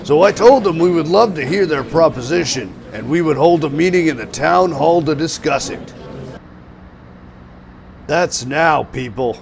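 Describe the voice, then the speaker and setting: A man's voice: fairly high pitch, expressive, a little slow, gravelly. A man voicing a brusque, authoritative creature mayor with an official, commanding manner in an amateur cartoon audio drama.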